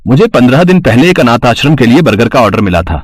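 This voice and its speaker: A man's voice: medium pitch, sing-song, fast talker, no echo, clean studio sound. A man voicing plain adult male characters in a cartoon, a shopkeeper and a husband, speaking matter-of-factly. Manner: matter-of-fact, polite. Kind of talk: cartoon voice acting.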